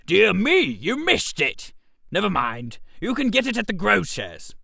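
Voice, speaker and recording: A man's voice: sits high, huge pitch swings, unhurried, gravelly, sharp tone, no echo, clean studio sound, heavily acted. A man voicing a genial, oblivious older father with a breezy, chatty manner in a comic radio story. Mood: unbothered.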